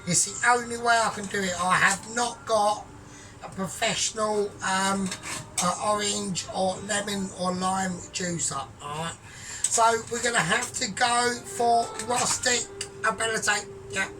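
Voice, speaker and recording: A man talking through his cooking in a rambling, grandiose, sweary way, often trailing off quiet. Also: sits high, steady tone, measured pace. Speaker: home cook vlogger. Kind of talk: casual cooking vlog. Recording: distant mic with music behind.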